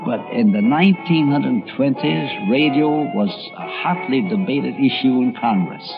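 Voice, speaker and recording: An older man, a radio critic, reminiscing as documentary narrator with animated storytelling. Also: medium pitch, unhurried, creaky, music in the background. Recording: dull archival radio documentary recording.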